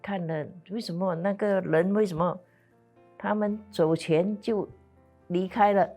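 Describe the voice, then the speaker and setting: Slow, low-voiced older Singaporean woman. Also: fairly even intonation, dark tone, faint music behind. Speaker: interviewee. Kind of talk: personal recollection.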